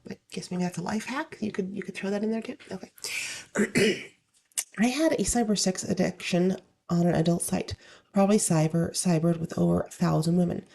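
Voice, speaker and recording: A woman hosts a casual story-reading session in a lowish, slightly hoarse voice. There is plenty of fry in it. She moves between reading aloud and offhand commentary in an informal way. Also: moderate pace, warm tone. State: slightly hoarse, getting over illness. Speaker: host reading online stories aloud.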